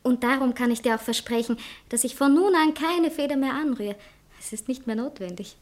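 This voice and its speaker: Actress as a high-voiced, effusive bride-to-be. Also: female voice, fairly quick, close to an everyday voice. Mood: earnest, pleading. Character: passionate young bride-to-be who writes novels. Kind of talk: theatrical literary satire dialogue.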